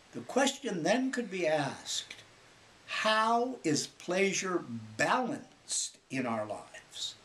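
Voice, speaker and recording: Guy reading spiritual audiobook, really slow n deliberate, lots of pauses, pitch moves around a lot. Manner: measured, deliberate phrasing with frequent pauses. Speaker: author reading own spiritual book. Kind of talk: scripted spiritual audiobook narration.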